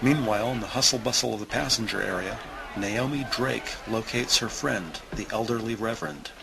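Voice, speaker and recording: A man narrating an audio drama in a deliberate, suspenseful scripted style with emphatic pauses. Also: fairly low pitch, vocal fry, background noise.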